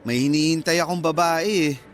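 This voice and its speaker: A man's voice: on the high side, a little fast, faint music behind, lightly acted. A man playing a modest, obliging young mechanic in a Tagalog radio drama, polite and easygoing in manner. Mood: casual, bashful.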